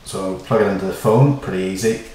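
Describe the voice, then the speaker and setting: Deep-voiced man in echoey room. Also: brisk, a little mellow. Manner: informal, plain-spoken. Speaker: host of a how-to video. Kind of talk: casual tutorial explanation. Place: small bare-walled room indoors. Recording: echoey small room, distant mic, faint noise.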